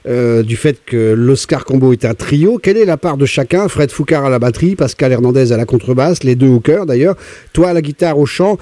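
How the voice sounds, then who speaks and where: A man's voice: mid-range voice, expressive, a little fast, dark tone, no room sound. A man hosting a radio music interview, chatty and leading the questions. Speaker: radio host.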